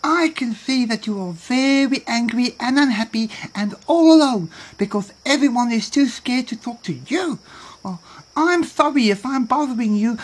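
A woman's voice: fairly high pitch, breathy edge. A woman voicing a timid, kindly little male bird in a children's folktale, polite and stammering as he tries to be brave. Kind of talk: children's animal folktale character.

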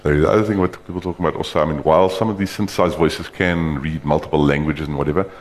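A man giving an informal, rambling live demonstration of screen reader software, talking loosely with frequent restarts. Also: rumbling low, fairly even intonation, fast talker, a little hiss or hum.

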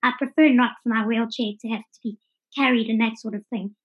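South african woman as podcast guest, highish voice, explains stuff clearly in detail, slightly dull audio. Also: steady tone, brisk. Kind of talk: conversational interview answers.

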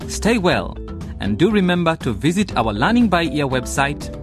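Male radio presenter: scripted sign-off, highish voice, very animated, clear music bed underneath. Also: normal speed. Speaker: radio drama narrator and presenter. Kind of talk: scripted radio outro narration.

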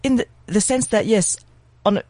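A man, a guest on a talk show, arguing in an impassioned, emphatic way with quick bursts of speech. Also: high voice, a little mellow, no echo. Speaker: guest commentator.

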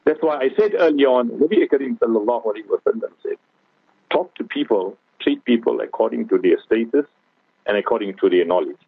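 A man's voice: mid-range voice, lively intonation, a little fast, gravelly, husky, no echo. A man with a South African accent, a guest scholar giving an earnest religious talk on radio and often addressing the host. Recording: tinny phone-like radio broadcast.

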